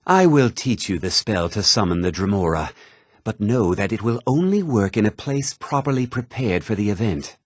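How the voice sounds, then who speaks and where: A husky, deep-voiced learned mage. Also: male voice, expressive, moderate pace, no room sound, studio quality. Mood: solemn, instructive. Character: learned, measured male mage of a magic college. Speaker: video game character. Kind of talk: scripted fantasy game dialogue.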